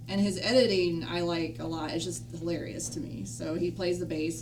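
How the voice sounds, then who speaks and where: A woman casually describing things as a panelist on a group stream. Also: low-pitched. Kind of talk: casual chat. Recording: distant mic, clear humming noise.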